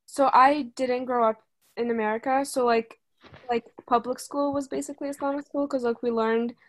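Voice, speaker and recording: A woman's voice: sits high, fairly even intonation, normal speed, poor audio. A young woman student from Dubai, chatting in a class discussion with lots of filler words. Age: young adult.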